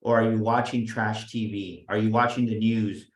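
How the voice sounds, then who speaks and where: A man talking as a guest business coach, energetic and emphatic, often restarting and repeating his words. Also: on the low side, normal speed. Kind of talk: motivational interview talk. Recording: roomy echo.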